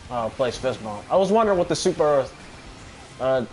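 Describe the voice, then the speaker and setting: A man's voice: mid-range voice, expressive, moderate pace, darkish tone, poor audio. Casual, rambling male gamer over game music. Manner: rambling, casual, swears freely. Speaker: gamer commentating on own play. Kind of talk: casual gameplay commentary. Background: video game music.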